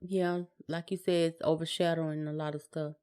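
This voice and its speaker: Female podcast co-host, smooth clear voice, agreeable and brief in casual chat. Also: sits low, little pitch movement, moderate pace, mellow. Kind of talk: casual conversation.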